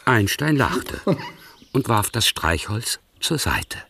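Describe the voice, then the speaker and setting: A man narrating a radio drama in a measured, even storytelling manner. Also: mid-range voice, gravelly, breathy edge, no room sound.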